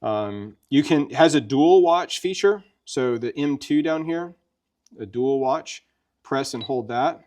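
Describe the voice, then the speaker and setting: A man with a medium-pitched voice presents a product demonstration. He talks in a casual, folksy way, chatting through each step conversationally. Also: expressive, unhurried, a little mellow. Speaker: presenter of a gear review.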